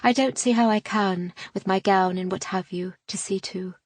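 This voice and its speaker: A woman reading an audiobook, voicing a proud, tired young noblewoman who speaks in terse, quiet lines. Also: mid-range voice, steady tone, moderate pace, dry recording, close to an everyday voice. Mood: curt.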